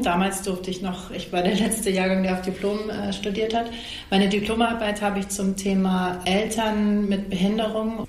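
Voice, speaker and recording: A woman's voice: medium pitch, a little mellow. A woman in her forties giving conversational answers as an interview guest. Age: 40s. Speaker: interview guest, social worker. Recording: echoey room, faint background noise.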